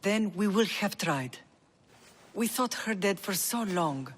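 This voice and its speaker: A woman's voice: medium pitch, lively intonation, takes it slowly, breathy edge. A pleading middle-aged mother. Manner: gentle, insistent. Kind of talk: video game cutscene acting. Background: game score music.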